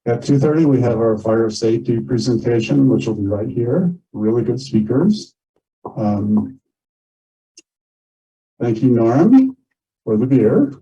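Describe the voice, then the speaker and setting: A man's voice: mid-range voice, animated, measured pace, pauses often, mellow. A man chairing an informal community meeting, making announcements and reminders. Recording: dull, muffled meeting audio.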